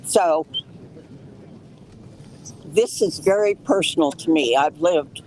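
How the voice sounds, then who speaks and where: An older woman from Colorado, loud and plain-spoken, making a statement outdoors as a case's lead petitioner. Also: deep voice, takes it slowly, a little hiss or hum, poor audio. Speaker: lead petitioner speaking at a press conference. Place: outdoors on a city street.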